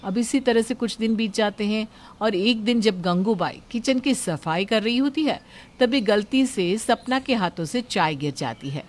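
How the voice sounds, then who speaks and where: A woman narrates a story from a script. Her voice sits at a middle pitch, and she delivers it as a storyteller. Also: lively intonation, moderate pace.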